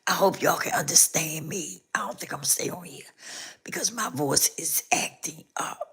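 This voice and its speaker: A woman hosting a casual live stream, hoarse with a rough, scratchy voice, repeating herself as she talks straight to her viewers. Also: deep voice, normal speed, pushed and bright, in a whisper.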